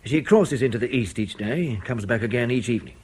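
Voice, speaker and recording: Husky, animated intelligence officer. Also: male voice, medium pitch, brisk. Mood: businesslike. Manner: colloquial, argumentative, methodical. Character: brisk, reasoning male intelligence station officer. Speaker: intelligence officer briefing a colleague. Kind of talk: radio drama acting.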